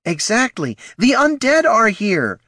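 Male narrator doing a growly gnoll mom, raised pitch, short-tempered, barks orders. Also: huge pitch swings, moderate pace, sharp tone, no echo, clean studio sound, exaggerated voice. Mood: snapping. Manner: snarling, barking orders. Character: fierce, harried female gnoll mother.

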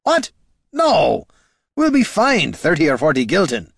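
A man voicing a gruff, brawling male dwarf warrior with a blunt, unbothered way of talking, in a fantasy audiobook. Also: on the high side, wildly swooping pitch, a little slow, no room sound, clean studio sound, voice pushed up, heavily acted.

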